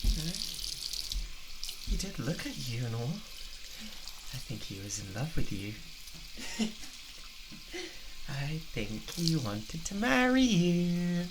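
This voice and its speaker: A man with a British accent playing an obsessive, unhinged boyfriend, doting and menacing, in a halting, intimate ASMR roleplay. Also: a little high, big pitch swings, background noise. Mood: possessive, amused. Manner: halting, giggly, theatrically coaxing.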